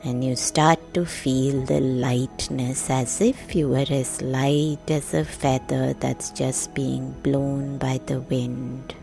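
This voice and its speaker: A woman narrating a guided sleep meditation in a gentle, hushed, soothing manner with long pauses. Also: very deep voice, fairly even intonation, gravelly, faint music behind. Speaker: meditation guide, podcast host.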